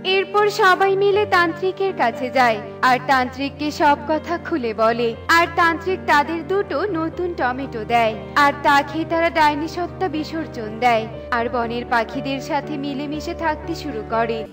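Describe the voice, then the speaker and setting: A woman's voice: high-pitched, moderate pace. A woman reading scripted narration for a children's cartoon story. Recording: music behind the voice.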